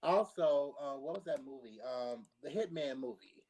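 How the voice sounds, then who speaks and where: A man's voice: medium pitch, expressive, normal speed, over a noisy crowd. A man in a casual group chat as a podcast panelist, thinking aloud in a hesitant way.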